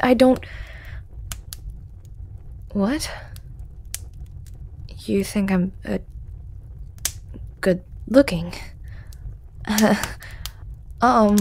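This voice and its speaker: A performer voicing a shy, hooded male storyteller, centuries old, who speaks softly with lots of hesitant stammering and whispers in an audio roleplay. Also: mid-range voice, slight background noise. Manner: hesitant and stammering, many ums and restarts; soft-spoken, often whispering.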